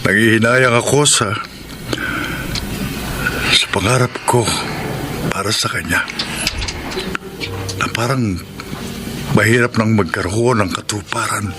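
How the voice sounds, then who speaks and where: An actor playing a worried, regretful middle-aged father in a radio drama, speaking in a low, sombre voice. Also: slow, long pauses, noisy background. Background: music.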